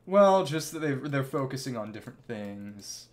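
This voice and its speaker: A man's voice: mid-range voice, sing-song, normal speed. A man giving casual live commentary as a video game streamer, rambling and thinking aloud as he plays. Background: video game music.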